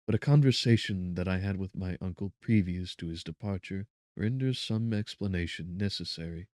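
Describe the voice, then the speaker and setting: A man voicing a gentle, anxious young woman reading out her tender, earnest letter in an audiobook. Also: low-pitched, moderate pace, no room sound, studio quality, natural voice. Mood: tender worry.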